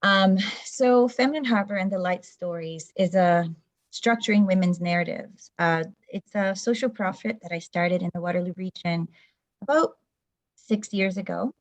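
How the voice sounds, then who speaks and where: A woman's voice: medium pitch, moderate pace, dry recording, highs cut off. A middle-aged woman whose first language is Portuguese gives a thoughtful talk over a video call, with frequent fillers and restarts. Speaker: presenter.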